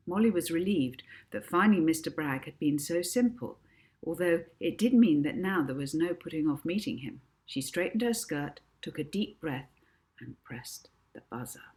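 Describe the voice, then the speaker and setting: A woman author reading her children's book aloud as an expressive, measured and emphatic storyteller. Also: lowish voice. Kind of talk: expressive children's audiobook narration.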